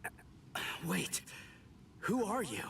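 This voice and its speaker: A man's voice: high-pitched, big pitch swings, drawn-out words, creaky, far from the mic, background noise, heavily acted. An actor playing a blunt, hot-tempered, sarcastic teenage boy hero in an English anime game dub. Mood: startled. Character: brash, hot-tempered teenage substitute soul reaper.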